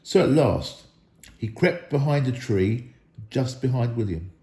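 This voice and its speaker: Animated male storybook reader, echoey room. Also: mid-range voice, measured pace. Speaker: school staff member reading aloud. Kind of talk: dramatic storybook narration.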